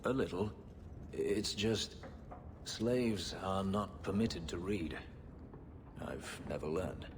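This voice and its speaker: An actor playing a brooding, guarded elf who escaped slavery, speaking in a grave, terse way for a video game. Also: male voice, low-pitched, slow pace, long pauses, slight background noise. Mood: wistful, hesitant. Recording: music behind.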